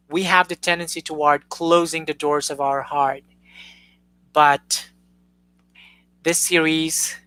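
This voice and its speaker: A young woman chats on her live stream in an earnest, gushing way, often stopping to restart a sentence. Her voice sits a little low but sounds bright and forward. The sound is dry and close. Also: no echo, rough recording. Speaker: YouTube host. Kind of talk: casual live-stream chat.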